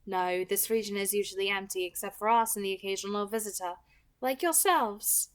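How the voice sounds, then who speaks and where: A woman's voice: mid-range voice, a little fast, natural voice. A woman voicing a courteous, welcoming female head of a vampire family in a read-aloud novel. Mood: polite, welcoming.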